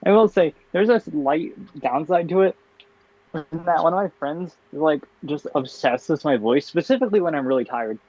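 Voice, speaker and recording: A young man co-hosting a casual chat show, talking in a tired, flat monotone with bursts of laughter. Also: fairly high pitch, moderate pace, no room sound. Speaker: podcast co-host. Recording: dull, dry lo-fi home mic.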